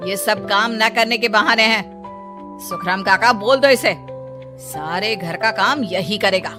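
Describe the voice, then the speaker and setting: A woman's voice: medium pitch, slow, natural voice. A woman voicing a bossy, scheming, wealthy mother-in-law in a cartoon story, with a haughty manner. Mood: stern, scolding. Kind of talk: Hindi cartoon story dialogue. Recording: music behind the voice.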